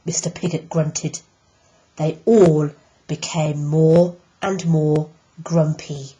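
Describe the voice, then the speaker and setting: A woman teacher reading a picture book aloud, slow and deliberate with emphatic pauses. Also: low voice, steady tone, warm tone, muffled recording. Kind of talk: storybook read-aloud.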